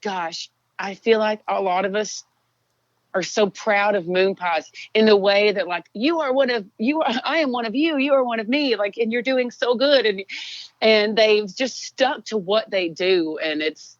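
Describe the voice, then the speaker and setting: A Texan songwriter, a woman, chatting in an interview, relaxed and rambling with lots of fillers. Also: a little slow, no room sound, lo-fi sound. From Texas. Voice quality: low singing voice. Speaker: songwriter guest. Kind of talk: casual interview chat.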